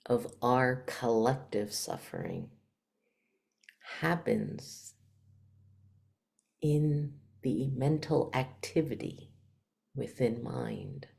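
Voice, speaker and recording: A woman leading a guided meditation as a teacher, speaking in short, hushed phrases with long pauses between them. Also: rumbling low, very animated, vocal fry. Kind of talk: guided meditation, spiritual talk.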